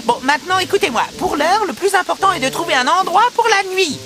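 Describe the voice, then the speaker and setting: Cartoon band leader, young animal: high, very lively, bossy and encouraging, music underneath. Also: female voice, fast talker, heavily acted. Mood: take-charge. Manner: animated, take-charge. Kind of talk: French cartoon dubbing. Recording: music behind the voice.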